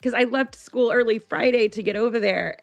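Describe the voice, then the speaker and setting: A woman's voice: on the high side, fairly quick, vocal fry, lo-fi sound, faint music behind. A woman talking casually as an interview guest, enthusiastic, often repeating and restarting her phrases. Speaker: podcast guest, school teacher.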